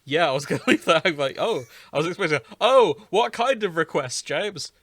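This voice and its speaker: A man's voice: a little high, animated, a little fast, fairly bright tone, dry recording. A British man hosting a podcast in casual, jokey chat with his co-hosts, sometimes with theatrical emphasis. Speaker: podcast host.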